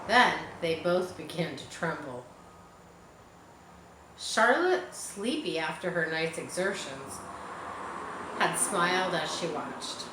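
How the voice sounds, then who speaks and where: A woman reading a children's story aloud in an expressive narrating style. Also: low-pitched. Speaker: audiobook reader. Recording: echoey room, faint background noise.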